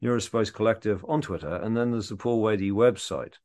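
A man in his fifties from the Liverpool area, a theatre maker guesting on a radio show, telling animated, self-mocking stories and laughing often. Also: fairly low pitch, fairly quick. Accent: mild English accent. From England, Liverpool area. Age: 50s. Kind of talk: casual interview talk.

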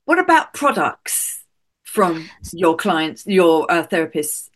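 A woman's voice: on the low side, big pitch swings, slow. A woman hosting an interview, encouraging her guest with frequent praise and affirmations. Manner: encouraging, lots of "brilliant" and affirmations. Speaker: interview host. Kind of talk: casual interview chat.

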